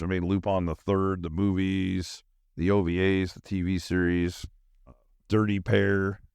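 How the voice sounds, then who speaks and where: A man's voice: sits low, darkish tone, dry recording, studio quality. A middle-aged man hosting a podcast, chatting casually and enthusiastically in a scattered, slangy way as he thinks aloud. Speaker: podcast host. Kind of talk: casual rambling solo chat.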